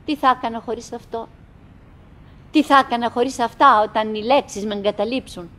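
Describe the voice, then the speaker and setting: An actress playing a wistful middle-aged woman who chatters bravely to her husband in halting, theatrical radio drama monologue. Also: fairly high pitch, fairly bright tone, close to an everyday voice. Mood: reflective, halting. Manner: halting, fragmented, dramatic, swinging between murmurs and calls.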